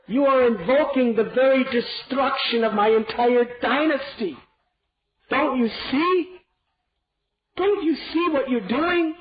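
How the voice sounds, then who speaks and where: A man voicing a grief-stricken old king who pleads desperately, his voice rising high, on a dull lo-fi recording. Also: slight background noise, heavily acted. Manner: anguished, pleading, raises voice high.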